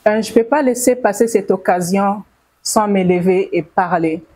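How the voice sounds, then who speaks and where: A middle-aged woman with a Congolese accent giving grave, emphatic testimony to a gathering, with halting phrasing. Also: medium pitch, warm tone. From Bukavu, South Kivu, DR Congo. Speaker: testifying survivor and audience speaker.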